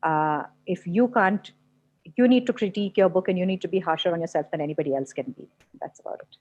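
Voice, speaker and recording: A woman's voice: lowish voice, quick pace, a little mellow. Firm woman on a muffled call. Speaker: panelist, writer. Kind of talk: casual panel chat on a video call. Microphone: video call. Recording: slightly muffled video call.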